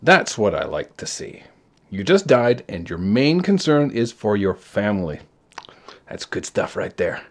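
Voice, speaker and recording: A man's voice: lowish voice, very animated, measured pace, heavily acted. A man voicing a casual, kindly God in a storybook, offhand and reassuring, with a matter-of-fact manner. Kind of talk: storybook character voice.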